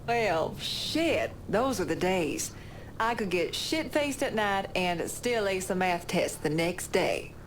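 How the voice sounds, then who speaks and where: A woman's voice: mid-range voice, lively intonation, takes it slowly, slight background noise. An actress playing a tough, foul-mouthed woman with a casual, streetwise manner in a video game cutscene. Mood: teasing. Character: tough, foul-mouthed woman, teasing old friend, privately insecure.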